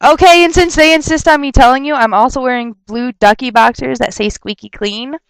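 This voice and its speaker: A young woman rambles through viewer questions in a dry, close webcam recording. Her voice sits fairly high and stays smooth even though she is stuffed up from a cold. She chats loosely and slides into rants. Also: no room sound, poor audio. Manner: chatty, rambling, quick to laugh and rant. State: stuffed up with a sore throat. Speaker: vlogger answering viewer questions. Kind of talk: casual rambling webcam vlog.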